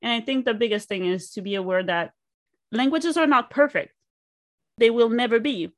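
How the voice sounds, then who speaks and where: A woman's voice: on the high side, moderate pace, no echo, clean studio sound. A woman with a French accent, a native French speaker, sharing her view earnestly as co-host. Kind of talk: conversational podcast interview.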